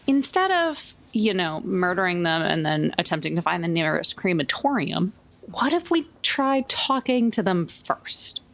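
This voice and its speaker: A woman's voice: on the low side, very animated, unhurried, vocal fry, fairly bright tone. A woman playing a tabletop roleplaying game, chatting casually and thinking things through with wry humor. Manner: wry, reasoning aloud. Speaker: player. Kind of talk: casual tabletop actual-play chat.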